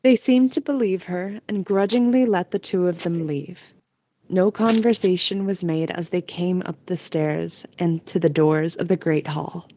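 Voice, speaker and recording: Mellow low-voiced woman narrator. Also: steady tone, measured pace. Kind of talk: scripted story narration.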